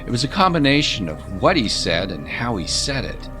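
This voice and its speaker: A man's voice: mid-range voice, sing-song, normal speed. A man narrating a scripted story as an audiobook narrator, in a dramatic storytelling style. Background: music.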